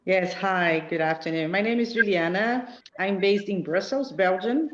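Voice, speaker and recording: A woman's voice: mid-range voice, moderate pace, slight background noise. A woman from Brazil introducing herself politely and composedly as a panel guest on an online call. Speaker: panel guest, advocacy officer. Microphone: video call. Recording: echoey, dull online call.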